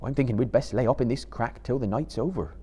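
A man's voice: medium pitch, quick pace, dark tone, breathy edge, natural voice. A man voicing a loyal, plain-spoken, cautious hobbit gardener with rustic, chatty phrasing in a live storybook reading. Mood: worried.

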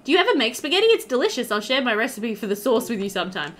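A woman's voice: a little high, very animated, very fast, a bit bright. A woman voicing a delighted, friendly young man from a farming game, reading his lines eagerly and effusively.

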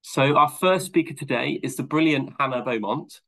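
A man's voice: medium pitch, a bit bright. Man hosting a webinar over video call. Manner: friendly, slightly halting with fillers. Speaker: webinar host. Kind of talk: introducing a speaker.

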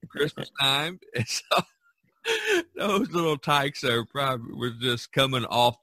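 An older man with a bright, forceful voice talks casually on a podcast. His manner is jovial, with chuckles slipping into his speech. The sound is close and dry. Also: medium pitch, unhurried, no room sound. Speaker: podcast co-host. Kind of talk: casual chat.

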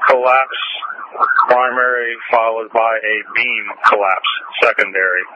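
A man speaking as a fire operations chief in formal, measured, procedural radio traffic. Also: medium pitch, steady tone. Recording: noisy muffled emergency radio transmission.

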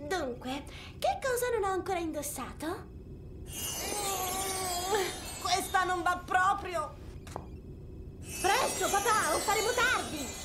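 A spoiled young society belle from a cartoon game. Her voice is extremely high and takes its time, fussing and gushing theatrically. Music plays clearly behind her. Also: animated, slow pace, pauses often, exaggerated voice. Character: spoiled, excitable young Southern belle heiress. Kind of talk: animated video game character acting.

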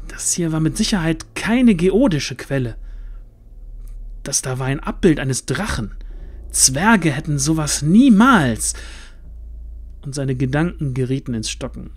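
A man narrating a fantasy audio drama in a suspenseful, deliberate way with dramatic pauses. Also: mid-range voice.

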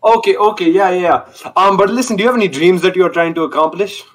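A young man on a video call through a gaming headset, joking and boasting in a loud, cocky way. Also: high-pitched, quick pace. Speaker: online date contestant. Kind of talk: casual video call banter.

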